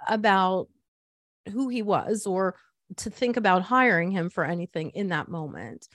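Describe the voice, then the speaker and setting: A woman's voice: medium pitch, lively intonation, unhurried, fairly bright tone, dry recording. A woman hosting a casual interview, talking with eager enthusiasm. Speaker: host.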